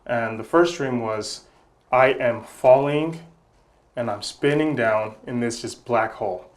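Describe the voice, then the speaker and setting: A man from Congo speaks as a podcast guest in a steady, middle-pitched voice. He is earnest and reflective, and often repeats a word or two while he gathers his thoughts. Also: a little slow. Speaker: guest, nonprofit founder. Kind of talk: interview answers.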